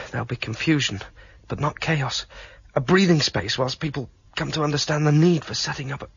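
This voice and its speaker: A husky rebel guy, pushy and urgent, dry dull old radio sound. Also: a little high, moderate pace, fairly bright tone, dry recording, heavily acted. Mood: explaining. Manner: urgent, persuasive. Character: determined male rebel exposing a ruling computer.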